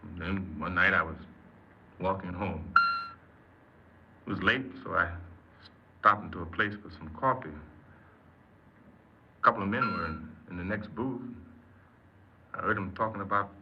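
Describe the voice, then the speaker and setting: A low-voiced, rueful young thief, noisy old radio. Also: male voice, a little slow, pauses often. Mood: rueful, explaining. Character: impatient, self-justifying young male thief confessing his crime. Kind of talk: 1950s radio drama acting. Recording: muffled, very noisy 1950s radio recording.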